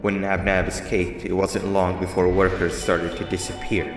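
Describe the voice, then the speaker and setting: An actor voicing a calm, grave monster mascot in a video game, giving measured, formal instructions like a schemer. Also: male voice, low voice. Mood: calm, instructive. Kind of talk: scripted video game dialogue. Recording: roomy reverb with music underneath.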